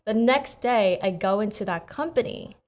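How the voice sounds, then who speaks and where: A young woman born in Korea and raised in America, co-hosting a podcast and telling personal stories in a casual, animated way. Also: mid-range voice, moderate pace. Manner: animated storytelling with quoted asides. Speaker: podcast co-host. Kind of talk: casual chat.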